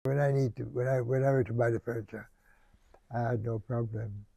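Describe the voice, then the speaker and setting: A man's voice: medium pitch, steady tone, fairly quick, creaky, warm tone, no echo. An older man recounting his past in an interview in short, plain phrases, on a slightly lo-fi recording. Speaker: local resident interviewee. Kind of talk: personal reminiscence in an interview.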